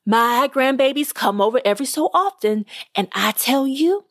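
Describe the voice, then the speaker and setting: A chatty older grandmother shares a knowing remark, as a woman reading a story puts her on. Her voice is fairly high. The sound is close and dry. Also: lively intonation, moderate pace, a bit bright, dry recording.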